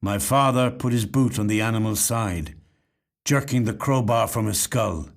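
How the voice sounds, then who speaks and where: A man reading a literary short story as scripted narration, with measured, dramatic, deliberate phrasing. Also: fairly low pitch, creaky. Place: recording studio.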